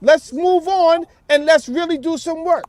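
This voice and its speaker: A man's voice: very high voice, a little slow, a little mellow, no echo. A man in a casual, earnest phone conversation, using slang and restating his points. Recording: noisy phone call, background ambience.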